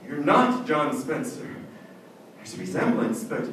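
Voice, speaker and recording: A man's voice: fairly high pitch, sing-song, measured pace, fairly bright tone. A man playing a desperate, doomed judge in an old-time radio melodrama, with theatrical delivery. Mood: shocked, anguished. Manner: theatrical, pleading. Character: desperate, doomed male judge who murdered his wife. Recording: echoey, distant stage recording, faint noise.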